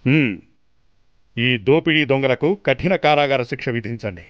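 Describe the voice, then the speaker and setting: A man voicing an authoritative, regal king holding court in an animated children's story. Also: mid-range voice, normal speed, no room sound, lightly acted. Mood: stern. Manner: commanding, regal. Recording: dry but muffled lo-fi sound.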